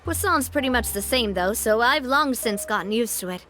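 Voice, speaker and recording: A woman's voice: on the high side, expressive, normal speed, sharp tone, a little hiss or hum. A woman playing a cheerful, kindly young woman who leads her people, friendly and teasing, in a video game. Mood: playful.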